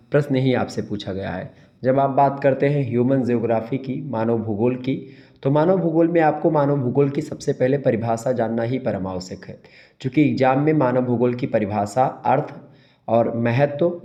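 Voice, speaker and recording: A man's voice: medium pitch, mellow. A man with a sore throat giving an exam-prep lecture, repeating key terms and answering his own questions. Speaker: teacher. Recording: dull lo-fi sound.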